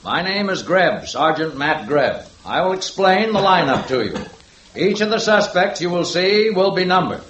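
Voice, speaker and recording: A commanding police sergeant. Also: male voice, sits high, very animated, normal speed, fairly bright tone, lo-fi sound, a little hiss or hum. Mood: firm, official. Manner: firm, orderly, barks instructions. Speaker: police sergeant running the lineup. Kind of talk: 1950s radio drama acting. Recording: echoey old radio broadcast.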